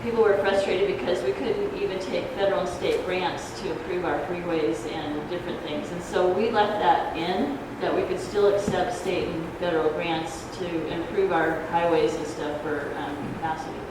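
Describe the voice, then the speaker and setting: A soft-voiced woman giving an informal explanatory talk at a public forum, speaking in a halting, hesitant way. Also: lowish voice, steady tone. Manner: halting, hesitant, loses her thread. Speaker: ballot measure proponent, debate speaker. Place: community grange hall. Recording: echoey hall, distant noisy microphone.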